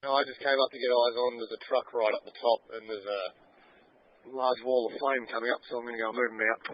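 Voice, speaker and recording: A man's voice: mid-range voice, fairly even intonation, fast. A man with an Australian accent giving a matter-of-fact firefighter's report over two-way radio, with some hesitant phrasing. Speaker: firefighter reporting on the fire ground. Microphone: radio scanner feed. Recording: muffled noisy two-way radio, clicks.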